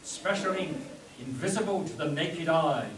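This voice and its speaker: In a roomy hall with an audience murmuring, a man plays a commanding adventure hero for a radio spoof. His voice is a little high and earnest, and he trips haltingly over his lines. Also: distant mic, crowd noise, natural voice. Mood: explaining, earnest. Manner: halting, stumbling over the script. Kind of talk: spoof radio play, read from script. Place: convention stage before an audience. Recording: echoey convention room from afar, audience noise.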